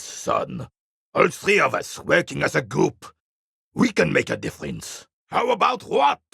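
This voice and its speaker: Gravelly, bitter old war veteran. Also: male voice, a little high, bright, forceful tone, dry recording, clean studio sound, exaggerated voice. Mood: scornful, then indignant, forceful. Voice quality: gravelly, raspy. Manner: gruff, scornful, theatrical, barking at younger men. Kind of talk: video game character dialogue.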